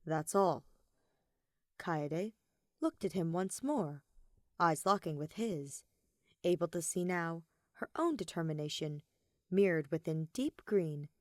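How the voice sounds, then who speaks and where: Female fiction narrator, lowish pitch, scripted storytelling read, very dry close sound. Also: animated, unhurried, no echo.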